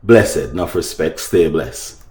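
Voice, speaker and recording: A man's voice: very deep voice, lively intonation, unhurried, a little mellow, roomy sound. A man with a Jamaican accent hosting casual opinion talk for his viewers, speaking emphatically and repeating phrases. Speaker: online talk show host.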